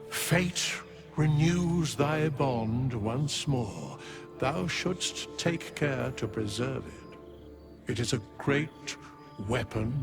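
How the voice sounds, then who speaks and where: An ancient, solemn undead sage from a fantasy game. The voice is fairly low and gravelly, pronouncing archaic words with grave weight over clearly audible music. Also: male voice, full character voice.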